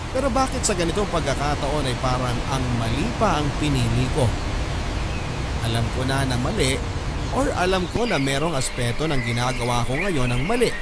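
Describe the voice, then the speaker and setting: A man narrating a first-person letter in a calm, steady reading. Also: mid-range voice. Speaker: letter reader. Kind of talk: scripted narration. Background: music.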